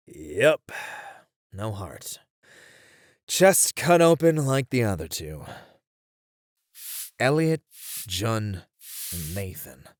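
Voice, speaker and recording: A man's voice: lowish voice, dry recording, clean studio sound. A man acting as a weary detective in an ASMR roleplay, musing conversationally over a murder case in a casual way. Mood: somber. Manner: casual, musing, sighing delivery. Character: weary male detective puzzling over a serial killer case.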